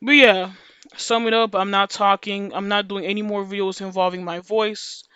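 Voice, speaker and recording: Woman with an unusually deep, smooth voice. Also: little pitch movement, moderate pace, fairly bright tone, no room sound, lo-fi sound. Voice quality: voice deeper than usual for a woman. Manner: rambling, slangy, repeats and restarts phrases. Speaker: video creator. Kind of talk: casual monologue to viewers.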